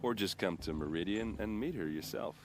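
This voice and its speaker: A man's voice: deep voice, fairly quick, close to an everyday voice. An actor playing a friendly, chatty young warrior who boasts about his tribe and his steel armor. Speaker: soldier in a king's vanguard. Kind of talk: video game cutscene dialogue. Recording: game audio with ambient noise.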